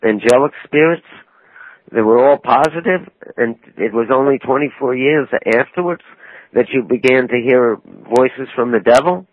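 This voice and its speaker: A man's voice: medium pitch, moderate pace, no room sound. A man interviewing a caller on a talk show. He cuts in often and presses with pointed questions. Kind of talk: call-in radio talk. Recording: dry, narrow phone-like sound.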